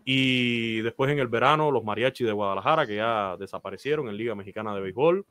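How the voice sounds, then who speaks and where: A man's voice: mid-range voice, fast, fairly bright tone, clear, resonant tone, no echo, studio quality. A man in his thirties with a Cuban Spanish accent, a baseball announcer talking earnestly about his career and giving advice on a video call, with frequent "eh" fillers. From Cuba. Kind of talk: interview guest telling his career story. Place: small room, indoors.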